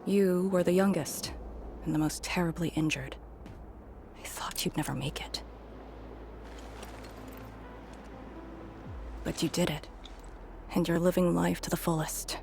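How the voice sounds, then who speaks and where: A woman voicing a grave, resolute veteran leader in a video game, speaking in a measured, solemn way. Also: fairly low pitch, steady tone, vocal fry, a little mellow, slight background noise. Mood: solemn, tender. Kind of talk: scripted video game dialogue.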